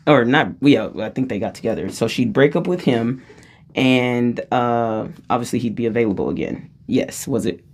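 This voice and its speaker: Woman vlogger with a low register voice, loose and rambling, chuckles between thoughts. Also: darkish tone. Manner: rambling, self-interrupting, laughs often mid-sentence. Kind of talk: casual personal storytelling.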